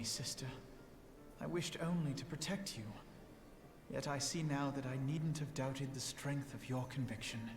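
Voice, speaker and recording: A man's voice: mid-range voice, lightly acted. Man acting a courtly young noble, long reverb. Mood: contrite, gentle. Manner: formal, eloquent, archaic diction. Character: earnest, courtly, scholarly young noble brother, measured and diplomatic. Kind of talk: fantasy video game voice acting. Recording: echoey, reverberant game audio under loud music.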